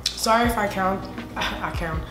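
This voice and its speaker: A woman's voice: medium pitch, a little slow, over music. A young woman in her twenties with a stuffy voice while recovering from a sore throat, chatting to viewers in a hair tutorial vlog from her apartment. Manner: chatty, laughing, addresses viewers directly. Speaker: beauty vlogger. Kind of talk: casual hair tutorial chat. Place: apartment living room.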